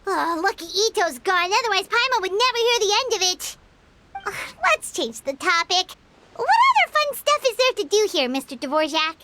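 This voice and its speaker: A woman voicing a small, chatty, cheeky fairy companion in a game, squeaky and childlike, speaking of herself in the third person. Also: very animated, moderate pace, very noisy. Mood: chatty, curious. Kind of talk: animated game dialogue.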